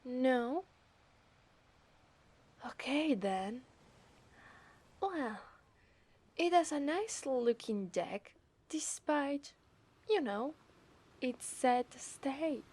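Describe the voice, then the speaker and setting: A woman with an Italian accent voicing a coy, possessive mermaid in a soft, breathy ASMR roleplay. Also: sits high, animated, extremely slow, pauses often, full character voice. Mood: tender, dreamy. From Italy. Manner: coy, teasing, breathy murmurs. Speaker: ASMR voice actress.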